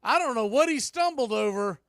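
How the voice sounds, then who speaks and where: A man calling a baseball game play by play, rising to excited calls on big plays. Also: extremely high pitch, brisk, creaky, no echo, studio quality. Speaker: play-by-play announcer.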